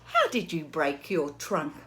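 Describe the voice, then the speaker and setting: A woman voicing an excitable, curious little female pig who keeps asking eager questions in a storybook reading. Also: low-pitched, a little slow, noisy background, voice pushed down, full character voice. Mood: excited.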